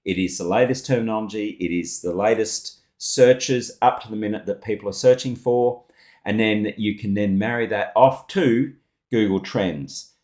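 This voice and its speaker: Low voiced aussie guy doing a casual online tutorial, thinks out loud, bit echoey. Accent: Australian. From Australia. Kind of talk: casual unscripted screen-share tutorial. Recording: roomy, echoing voice recording.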